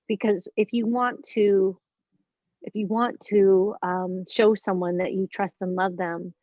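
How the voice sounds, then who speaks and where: A woman's voice: mid-range voice, no room sound. A woman of fifty in a casual spiritual conversation as a guest, earnest and searching, circling back over her words as she thinks aloud. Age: 50s. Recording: dull lo-fi dry recording.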